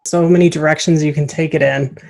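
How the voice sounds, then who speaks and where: A woman's voice: low voice, steady tone, a little fast, vocal fry, a little mellow, slight background noise. A woman hosting a podcast, interviewing casually in an agreeable, appreciative manner.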